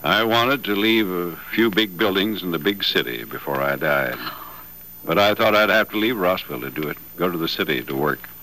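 A man's voice: deep voice, normal speed, sharp tone, low-quality recording. A man acting a modest, wry builder in an old-time radio drama, folksy, dry and musing, on a vintage broadcast recording. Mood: moved, doubting. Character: modest, wry builder in a wheelchair, skeptical and teasing.

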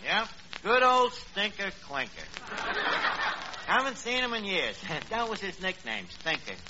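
An actor playing a cocky, blustering tavern manager with a New York accent, mangling big words with pompous confidence in a radio comedy. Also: male voice, high voice, sing-song, measured pace. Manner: malapropisms delivered with pompous confidence. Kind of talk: 1940s radio comedy acting. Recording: dull, noisy old radio recording.

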